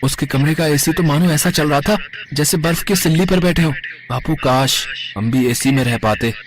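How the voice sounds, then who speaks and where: A man voicing an eager, inventive young village boy in an animated moral story, speaking earnestly and plainly. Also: fairly high pitch, moderate pace, lightly acted. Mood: excited, wishful.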